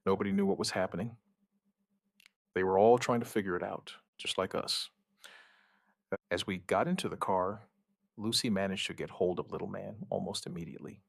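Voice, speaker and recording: A man acting as a steady, protective survivor looks back on the first days of an outbreak. His voice is low and gravelly, recorded close and dry. He narrates in measured, plain sentences with quiet understatement, in the manner of an apocalyptic audio drama. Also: no room sound. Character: male outbreak survivor recounting events, steady and protective partner.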